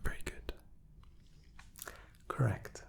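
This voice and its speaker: A man doing an ASMR roleplay as an examiner, speaking softly and in whispers, gently reassuring and repeating words. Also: takes it slowly. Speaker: ASMR host playing an examiner.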